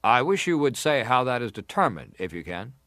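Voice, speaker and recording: A formal, pressing senator examines a witness in a historical drama. His mid-pitched male voice is deliberate and insistent, recorded dry with no room around it. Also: sing-song, fairly bright tone, dry recording. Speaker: actor reading an examining senator. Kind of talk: dramatised reading of hearing testimony.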